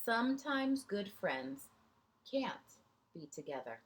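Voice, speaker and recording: A woman teacher reading a picture book aloud to young children, gently pausing for chatty asides and questions to her listeners. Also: medium pitch, very animated, a little hiss or hum.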